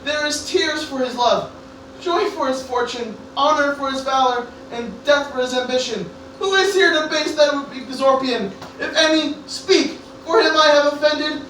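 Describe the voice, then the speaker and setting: Very high, bright boyish orator played by a woman. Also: normal speed. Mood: awkward, defensive. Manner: earnest public oration. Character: anxious teenage boy orating nobly as an assassin. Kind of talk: amateur Shakespeare-parody radio play acting. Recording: very echoey, distant room, faint noise.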